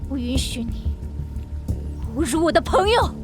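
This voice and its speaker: 一名女演员在动画剧中饰演骄傲火爆的年轻世家女剑士，语气强势凌厉。. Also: female voice, high voice, very animated, a little slow, over music. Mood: furious. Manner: imperious, sharp and hot-headed. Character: proud, fiery young heiress swordswoman. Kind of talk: animated audio drama acting.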